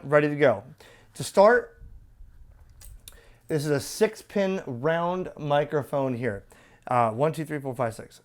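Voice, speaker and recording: A man presenting a casual, off-the-cuff hands-on product demo, conversational and opinionated, often tossing in rhetorical questions. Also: medium pitch.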